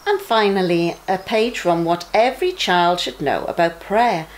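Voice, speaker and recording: A middle-aged woman reads a bedtime story for young children. Her pitch rises and falls in a very animated way, while her pace stays slow and measured. She phrases things emphatically, as an expressive storyteller does. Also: rough recording. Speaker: bedtime story reader for a junior church. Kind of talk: expressive read-aloud of children's Bible stories.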